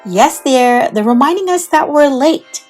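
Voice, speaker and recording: A woman voicing a patient, slightly weary mother in a storybook, speaking in a gently firm way. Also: sits high, expressive, a little slow, faint music behind.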